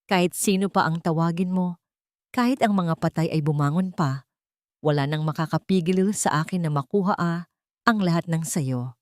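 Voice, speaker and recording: A woman voicing a cruel, scheming young fiancée who turns from sharp threats to false sweetness. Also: on the low side, normal speed, no echo, studio quality, lightly acted. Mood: menacing.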